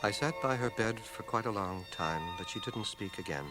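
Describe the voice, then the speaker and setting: Older scholar, male actor, radio drama lead and narrator: lowish voice, measured, dry, learned, old cassette sound. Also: animated, loud music behind the voice, lightly acted. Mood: grave, reflective. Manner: measured, precise, sardonic. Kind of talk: radio drama acting and first-person narration. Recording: 1980 radio drama, home cassette transfer.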